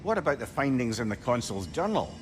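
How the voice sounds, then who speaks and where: A man's voice: medium pitch, big pitch swings, fairly quick, noisy background, lightly acted. A man playing a witty, tender old sage in a video game, with a Scottish accent and courtly, old-fashioned, talkative phrasing. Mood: chatty, courtly. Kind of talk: video game cinematic acting. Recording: game music behind the voice.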